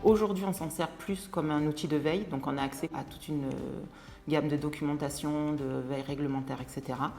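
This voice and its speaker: A woman's voice: low voice, fairly even intonation, a little fast, music in the background. A woman speaking in a composed, explanatory way in an unscripted interview.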